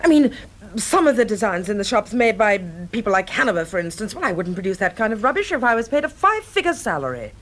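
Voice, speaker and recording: Animated, outspoken British designer. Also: female voice, medium pitch, fast. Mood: indignant. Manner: crisp, forthright. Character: outspoken, indignant young woman designer. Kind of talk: British radio drama acting.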